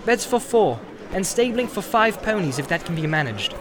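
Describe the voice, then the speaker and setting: A man's voice: sits high, very animated, normal speed, crowd noise, pitched above the performer's own voice. A man voicing a polite, hesitant young male hobbit traveller asking for lodging.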